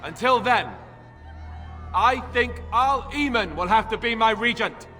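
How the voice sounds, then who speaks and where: A man's voice: very high-pitched, expressive, a little slow, sharp tone, heavily acted. A man playing a hesitant, self-deprecating, wry young prince who doubts himself, in dramatic fantasy game dialogue. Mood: nervous resolve. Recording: long hall reverb, music underneath.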